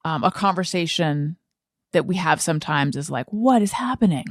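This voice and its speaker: A woman hosting a podcast, talking casually and haltingly as she thinks aloud and repeats words. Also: deep voice, lively intonation, dry recording, studio quality. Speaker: podcast host and interviewer. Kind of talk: casual conversational podcast talk.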